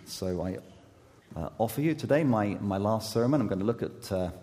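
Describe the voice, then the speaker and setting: A man preaching a sermon as an associate youth pastor, his voice going hoarse, speaking haltingly with many fillers and restarts and gentle self-deprecating humor. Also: fairly low pitch, animated. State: losing his voice. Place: church sanctuary. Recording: long hall reverb.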